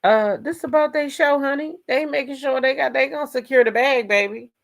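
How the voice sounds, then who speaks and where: A woman's voice: high-pitched, fairly quick, vocal fry. An American woman doing casual live gossip commentary as host, sassy and emphatic, often repeating phrases for emphasis.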